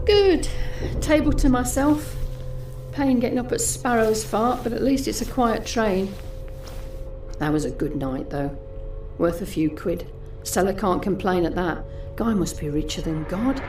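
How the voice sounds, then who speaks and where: An actress playing a jaded, snobbish young British woman who confides private thoughts in a confessional, sardonic audio drama monologue. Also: mid-range voice. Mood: scornful, smug. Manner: confessional, sardonic, muttering asides to herself.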